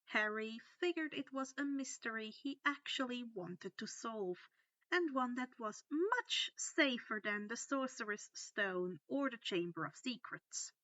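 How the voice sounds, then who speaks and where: A woman's voice: a little high, animated, a little slow, pushed and bright, no echo, dull audio. A woman reading a scripted fan fiction story aloud as its narrator.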